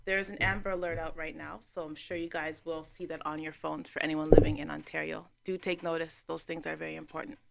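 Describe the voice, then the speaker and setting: A woman hosting a casual talk show interview, chatty and quick to laugh. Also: deep voice, level tone, vocal fry, heavy background noise.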